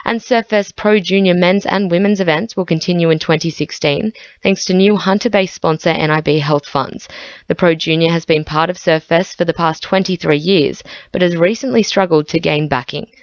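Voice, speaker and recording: A woman's voice: fairly low pitch, moderate pace, dry recording, lo-fi sound. An Australian woman reading a scripted sports bulletin on radio. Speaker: radio sports news reader.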